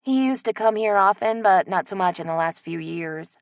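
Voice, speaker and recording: A woman voicing a polite, soft-spoken young woman from the city in an audiobook. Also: medium pitch, animated, normal speed, dry recording, dull audio, close to an everyday voice.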